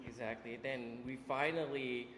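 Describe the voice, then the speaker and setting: A man in his forties from Vietnam, telling his life story as an interview guest, halting with many fillers and restarts. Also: medium pitch, steady tone, noisy background. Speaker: interview guest, business owner. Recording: echoey room with long reverb.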